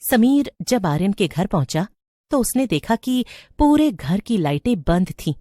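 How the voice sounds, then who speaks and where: A woman's voice: mid-range voice, sing-song, a little slow, dark tone, no room sound, studio quality. A woman reading scripted narration for a horror story.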